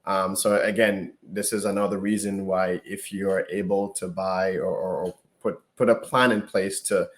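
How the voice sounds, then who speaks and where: Low-voiced guy chatting about the market, halting, repeats himself a lot. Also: little pitch movement. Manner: halting, repeats words while thinking. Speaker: realtor co-host. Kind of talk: casual reaction chat.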